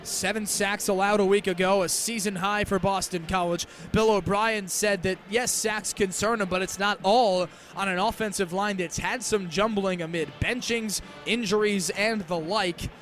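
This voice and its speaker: A young man calling American football live on the radio as a play-by-play announcer, with crisp, detailed delivery. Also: sits high, steady tone, normal speed, hardly any pauses, fairly bright tone, soft background music. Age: young adult. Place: stadium game broadcast. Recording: live outdoor broadcast with background noise.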